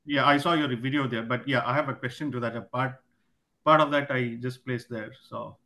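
A man with a mid-range voice calls in with a question about his son. He speaks haltingly, repeating phrases and restarting sentences as he gets the question out. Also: medium pitch, steady tone, sharp tone. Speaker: caller, a parent. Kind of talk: phone call question. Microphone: conference call line.

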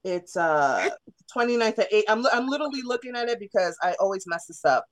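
A woman's voice: mid-range voice, expressive, brisk. Chatty woman, radio interview guest. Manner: chatty, with many fillers and restarts. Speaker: theater artist and guest. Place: radio studio.

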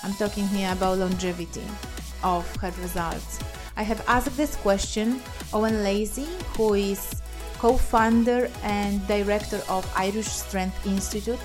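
A woman's voice: medium pitch, fairly even intonation, unhurried, over music. A woman from Poland with a Polish accent, hosting a podcast interview, effusive and full of uh and um fillers. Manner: warm, effusive, many fillers. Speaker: podcast host.

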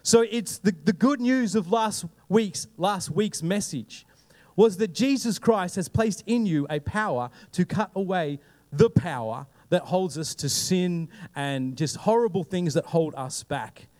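A young man with an Australian accent, preaching a sermon and telling a lively story, often asking the congregation questions. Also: high-pitched, measured pace, long reverb tail. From Queensland, Australia. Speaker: pastor.

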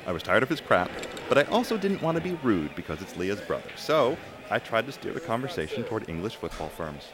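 Man playing low-voiced, animated soccer fan. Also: fairly quick, over music. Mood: indignant storytelling. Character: blunt, chatty male soccer supporter and loyal friend. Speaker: actor in an audio drama. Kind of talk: casual, naturalistic drama dialogue. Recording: busy crowd and traffic noise.

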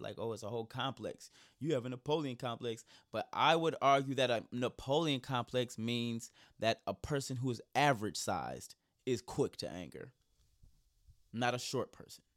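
A man in casual comedic chat whose voice is going hoarse, riffing loosely and cracking profane jokes. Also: mid-range voice, animated, moderate pace. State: losing his voice.

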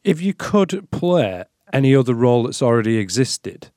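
A man hosting a casual interview, affable and quick with short agreeing words. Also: mid-range voice, very animated, a little mellow, dry recording, clean studio sound. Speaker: podcast host.

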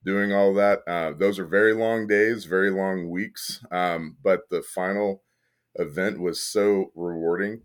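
Podcast guest: deep-voiced male arts director, relaxed, halting, filler-heavy. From Kentucky. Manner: thoughtful, many fillers and restarts, occasional laughs. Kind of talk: casual interview conversation.

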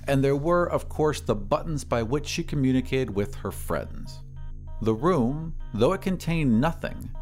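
A male narrator reads a story in a dramatic, scripted style. His middle-pitched voice moves in lively swings, with music underneath.

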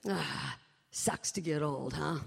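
A woman's voice: deep voice, slow, vocal fry, heavy background noise, pitched below the performer's own voice, heavily acted. A woman voicing a goofy, tactless middle-aged male doctor who blurts out jokes and gloomy musings, heard in a live hall. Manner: blurting, jokey, then gloomy. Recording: long hall reverb.

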